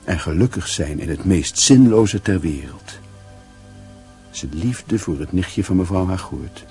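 A male actor playing a grave, brooding storyteller, reading literary narration in a radio drama in a measured, solemn manner. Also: low voice, lively intonation, warm tone, faint music behind.

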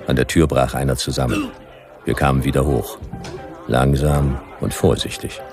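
Very deep gravelly hardboiled detective. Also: male voice, moderate pace, over music. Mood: dry, deadpan. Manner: dry, deadpan. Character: hardboiled laconic male detective narrating his Western ordeal. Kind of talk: radio play first-person noir narration.

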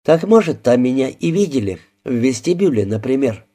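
A poised young woman with a cool, lightly ironic way of speaking, as a male narrator puts her on. The tone stays mellow and unhurried, her teasing always polite. She sounds like a figure in a read-aloud crime novel. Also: medium pitch, very animated.

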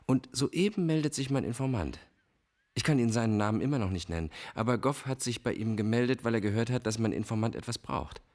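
An actor playing a suave, manipulative villainous psychiatrist in a radio crime drama, measured and courteous. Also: male voice, mid-range voice, expressive, a little mellow, close to an everyday voice. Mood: feigned concern.

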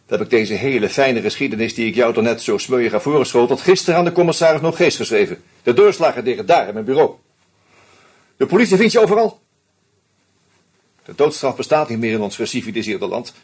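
A calm sarcastic detective, real husky voice, old radio play. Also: male voice, fairly low pitch. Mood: cool. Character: shrewd male investigator, calm, sardonic, adult. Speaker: detective confronting a killer. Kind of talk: radio drama acting.

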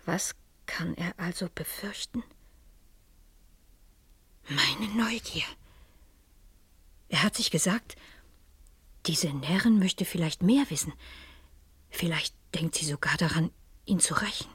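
An actress playing an experienced, inquisitive professor and amateur sleuth, pensive and breathy, in a German radio crime drama. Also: female voice, on the low side, slow pace, pauses often, no room sound, exaggerated voice. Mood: hushed, anxious.

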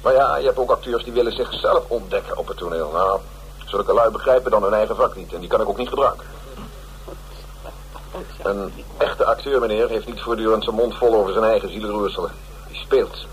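A man acts out an older theatre company boss in a radio play, his voice on the low side. He speechifies pompously and pities himself. The recording is noisy. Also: a little fast, natural voice. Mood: grandly earnest. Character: pompous older male theatre company director, self-pitying. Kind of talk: radio drama acting.

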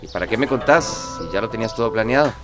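Radio play: resentful man, male actor, lowish voice, curt and sulky, music behind. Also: expressive, fast talker, lightly acted. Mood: bitter. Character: hurt, resentful man brushing off his partner. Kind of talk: radio drama acting.

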